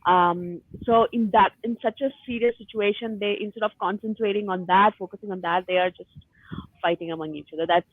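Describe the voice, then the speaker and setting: A woman's voice: medium pitch, steady tone, fairly quick, no room sound, phone-quality sound. A woman leading a casual book discussion, speaking emphatically and repeating words for stress.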